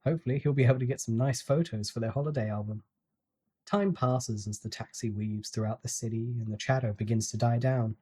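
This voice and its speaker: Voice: mid-range voice, dark tone, breathy edge. A narrator reading a novel aloud as a scripted dramatic audiobook. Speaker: story narrator.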